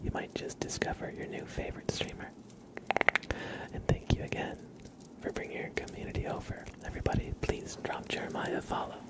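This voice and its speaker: A man hosting an ASMR livestream, speaking gently and unhurriedly in a breathy whisper with some gravel in it. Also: poor audio. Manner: soft-spoken, gentle, unhurried. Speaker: ASMR live streamer and host.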